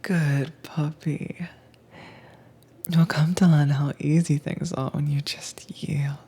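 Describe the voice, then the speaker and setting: A woman acts out a teasingly dominant tamer of captive werewolves in an intimate ASMR roleplay. Her voice is a very breathy, low whisper with a soft, mellow tone. She speaks slowly, coaxing and commanding with calm control. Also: heavily acted. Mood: gently commanding.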